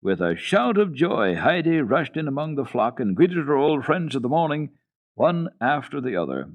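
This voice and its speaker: A man reading a children's story for radio in an expressive, deliberate, theatrical way. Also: lowish voice.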